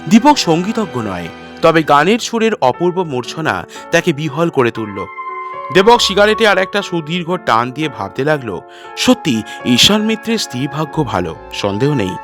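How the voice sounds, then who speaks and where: A man narrates a scripted detective story in a fairly high, very lively voice that rises and falls often. His delivery is literary and measured, with music playing underneath.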